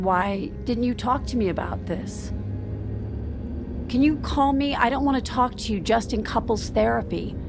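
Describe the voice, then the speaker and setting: A woman's voice: a little high, background noise. A woman playing a bewildered, hurt wife in an audio drama, speaking hesitantly in a naturalistic style. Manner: halting, hesitant.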